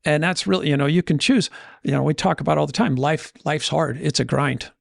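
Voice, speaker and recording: A man's voice: fairly high pitch, fairly quick, creaky, no echo, studio quality. A man speaking conversationally as a podcast host and financial coach, motivational in style, often voicing imagined inner dialogue to make his points. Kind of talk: conversational motivational money talk.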